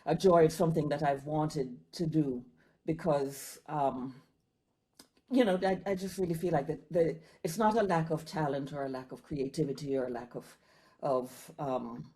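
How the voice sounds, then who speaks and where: A woman's voice: low-pitched, dark tone. A woman from Jamaica, a film distributor, talking earnestly over a video call with many ums and restarts. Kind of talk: casual video-call chat.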